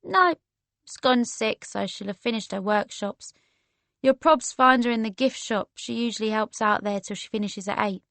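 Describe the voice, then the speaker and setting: A woman's voice: on the high side, normal speed, vocal fry, a bit bright, no room sound. A woman voicing a friendly, laid-back young London woman in an audiobook, breezy and informal with a London accent. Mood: breezy, helpful. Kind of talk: casual slangy character dialogue in an audiobook.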